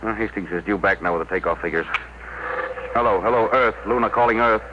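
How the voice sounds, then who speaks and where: Muffled, hissy old radio serial: male actor as low-pitched rocket captain, terse, grim, used to giving orders. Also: quick pace, close to an everyday voice. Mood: urgent, businesslike. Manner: terse, authoritative. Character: commanding spaceship captain, grim and resolute. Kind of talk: 1950s radio drama acting.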